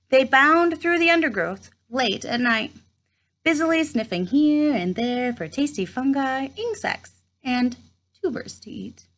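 Lively higher-pitched woman reading aloud. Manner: expressive storytime reading with brief asides. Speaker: read-aloud host. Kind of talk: reading a children's nature book aloud. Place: small room at home, indoors.

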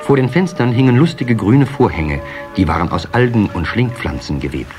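A man narrating a children's fairy tale as a measured, expressive storyteller in an audio play. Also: fairly low pitch, music in the background. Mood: storytelling.

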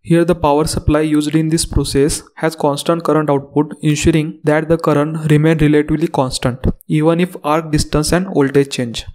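A man narrating a scripted technical lesson as the instructor, in a steady reading delivery. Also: fairly high pitch, normal speed, hardly any pauses.

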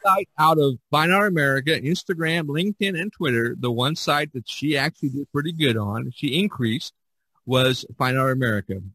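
A man's voice: a little high, moderate pace, sharp tone, breathy edge, rough recording. A man hosting a podcast, chatting casually and reading out figures in a folksy, rambling way, often repeating himself. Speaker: podcast host.

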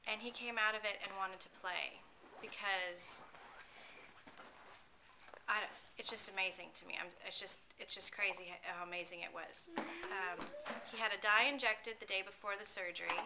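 A woman's voice: mid-range voice, normal speed. Woman, thirties, earnest chatty testimonial, noisy home recording. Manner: earnest, conversational, with small restarts. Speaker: pet owner giving a testimonial. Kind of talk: casual spoken testimonial. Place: small room at home, indoors. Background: steady background noise.

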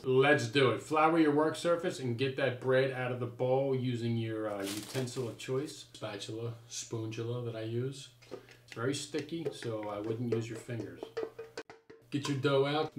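A man's voice: medium pitch, normal speed, background noise. An American man in his forties giving a playful, folksy, casual cooking demonstration in a home kitchen. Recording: roomy kitchen echo.

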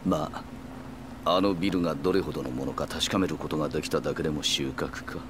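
A man's voice: a bass voice, quick pace, noisy background. A man voicing an older yakuza patriarch in a Japanese video game, composed, authoritative and affable. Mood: composed, cordial.

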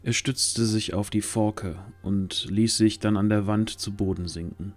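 A man's voice: fairly low pitch, fairly even intonation, a little slow. A man reading scripted narration for a fantasy audio drama. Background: faint music.

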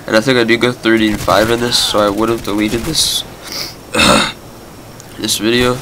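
Sick young man with a flat, nasal voice. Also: fairly low pitch, measured pace. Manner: rambling, filler-heavy. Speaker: gameplay commentator. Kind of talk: casual gameplay commentary. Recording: background game gunfire noise.

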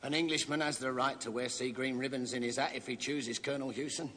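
A man's voice: mid-range voice, steady tone, fast talker, bright, forceful tone. An actor playing a stubborn, plain-spoken soldier standing his ground in a period drama. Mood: defiant. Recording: echoing large hall.

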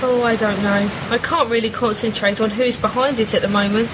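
A woman's voice: fairly high pitch, steady tone, a little fast, heavy background noise, lightly acted. A woman playing a worried but determined amateur detective in a radio play, earnest and polite.

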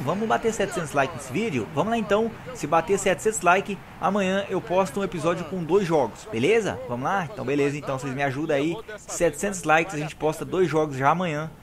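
Fast-talking hoarse Brazilian gamer guy. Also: fairly high pitch. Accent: Brazilian Portuguese. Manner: excited, slangy, talks to viewers. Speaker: gaming YouTuber. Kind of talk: live gameplay commentary.

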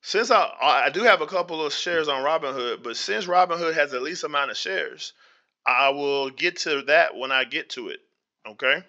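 A man with a slightly hoarse, strikingly bright and tense voice walks his viewers through a screen-share tutorial. His somewhat high voice has a gravelly fry, and the recording sounds dull and lo-fi. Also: moderate pace. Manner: talks straight to viewers, often asks if they hear him; drifts into preacher-like faith talk. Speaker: channel host. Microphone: voice-over to screen recording. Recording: dull lo-fi audio.

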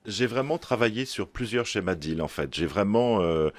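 Male novelist interviewee, deep register, spontaneous and halting with repeated words, very dry recording. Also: expressive, no room sound.

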